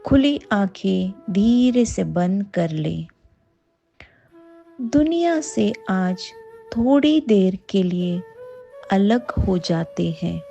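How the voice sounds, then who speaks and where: An Indian woman guides a meditation with extremely slow, soothing phrasing. Her voice is soft-edged and mellow, with a creaky fry in it. She leaves long pauses between short instructions. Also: mid-range voice, lo-fi sound. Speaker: yoga nidra guide.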